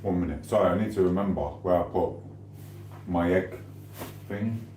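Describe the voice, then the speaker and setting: A middle-aged man from Sheffield, speaking with a broad Yorkshire accent in a somewhat low voice. He rambles and trades mock insults with his live chat in the small hours while cooking. The sound is echoey, as if from a bare kitchen, with faint noise underneath. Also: normal speed, warm tone. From Sheffield, England. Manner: banter-heavy, mock trash-talking, rambling. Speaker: livestreamer. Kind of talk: late-night live stream chat. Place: home kitchen at night.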